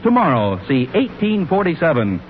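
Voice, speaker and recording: Male 1940s radio announcer, mid-pitched with sweeping intonation, polished sales delivery, muffled period sound. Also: wildly swooping pitch, moderate pace, slight background noise. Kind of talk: 1940s radio scripted narration and sponsor pitch. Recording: muffled old radio recording.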